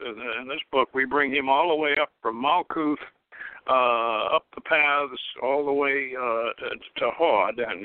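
A man's voice: medium pitch, no echo. Male radio host on a tinny phone line. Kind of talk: introducing a talk show, partly reading from notes.

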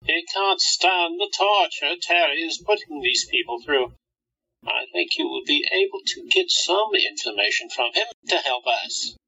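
A dull recording of a man acting an eager undercover time traveler in a radio play. The voice is very sharp and fairly high, swinging expressively at an unhurried pace. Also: big pitch swings, slightly rough, exaggerated voice. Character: eager time-traveler spy, flamboyant and unbothered. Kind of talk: amateur audio drama acting.

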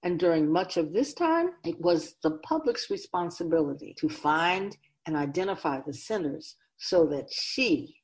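A woman's voice: on the low side, lively intonation, measured pace, few pauses, a little mellow. A woman playing a wise, grave otherworldly keeper of souls in a radio drama, speaking in an oracular, instructive and emphatic way.

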